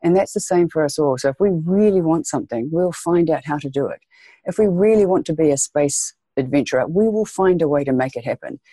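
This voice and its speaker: A woman's voice: low voice, animated, fairly quick, warm tone, no room sound. A woman from New Zealand with a New Zealand accent, speaking earnestly as a podcast guest and repeating phrases for emphasis. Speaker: guest, education leader. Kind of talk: podcast interview.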